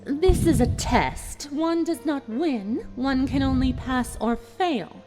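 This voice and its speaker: Woman playing a smug, animated pony villainess. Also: sits high, faint music behind. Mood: cold, composed. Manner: measured, mock-apologetic, taunting. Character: smug, cruel female pony villain running a deadly test. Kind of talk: dramatised audio fan fiction reading.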